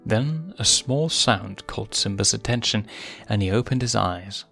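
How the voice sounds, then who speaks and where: A man with a low voice narrates fiction from a script. His delivery is that of a dramatic storyteller, and music plays softly behind him. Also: expressive, measured pace, creaky. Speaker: fan fiction reader and narrator.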